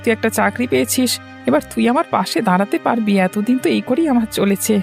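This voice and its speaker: A humble, hardworking older brother from a cartoon moral tale, acted by a man. He speaks quickly with a creaky edge, earnest and a little plaintive. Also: extremely high pitch, soft background music. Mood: hurt, resigned. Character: humble hardworking older brother who sells street snacks. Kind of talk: cartoon moral story voice acting.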